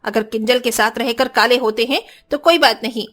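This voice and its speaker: Casting: female actor as kind young cartoon bhabhi, very high voice, earnest delivery. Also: moderate pace, a bit bright. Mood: indignant. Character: kind, protective young sisters-in-law and their gentle young student sister-in-law. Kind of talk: Hindi cartoon story acting.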